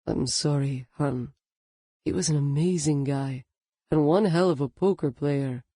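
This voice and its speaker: A woman narrator voicing an adult man, a gentle boyfriend with a slight Argentinian accent, speaking softly and sympathetically. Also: sits low, measured pace, dry recording, clean studio sound. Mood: sympathetic.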